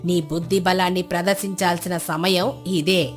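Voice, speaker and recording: A woman acting a serene, wise sage in a folk tale, giving counsel in a measured, authoritative way. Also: on the low side, fairly even intonation, soft background music, close to an everyday voice. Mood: instructive, solemn.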